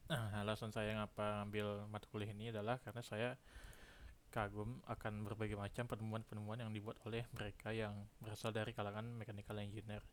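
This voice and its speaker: A man in his twenties from Indonesia, a student explaining his class in a halting way. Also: lowish voice, flat delivery, a little hiss or hum. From Pekanbaru, Indonesia. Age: 20s. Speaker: university engineering student. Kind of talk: self-introduction and lecture recap to camera.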